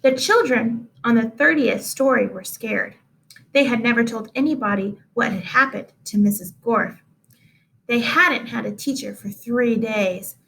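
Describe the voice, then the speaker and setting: A woman reading a children's book aloud as an expressive storyteller. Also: fairly high pitch, unhurried, creaky, poor audio. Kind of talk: expressive read-aloud narration.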